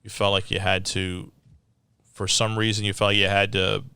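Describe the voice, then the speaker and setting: A man's voice: fairly low pitch, level tone, moderate pace, vocal fry, bright, forceful tone, clean tone. A man hosting a podcast, interviewing casually with informal, probing questions. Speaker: podcast host.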